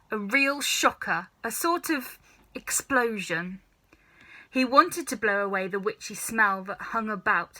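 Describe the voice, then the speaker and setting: A woman reading a children's story aloud in an expressive, dramatic, emphatic way. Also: a little high, rough recording. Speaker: storybook reader.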